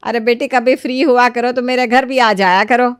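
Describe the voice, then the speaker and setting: A woman voicing a kindly, motherly middle-aged lady who reasons gently in a moral storybook tale. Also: on the high side, steady tone, normal speed, clean tone, no echo, clean studio sound, lightly acted. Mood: welcoming. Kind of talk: animated moral storybook dialogue.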